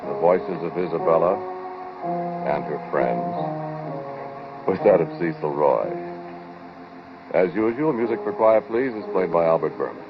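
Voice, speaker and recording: A man's voice: deep voice, animated, unhurried, long pauses. A man hosting a 1940s radio show, announcing credits and signing off. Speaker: radio show host and lead actor. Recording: muffled 1940s radio recording. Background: music.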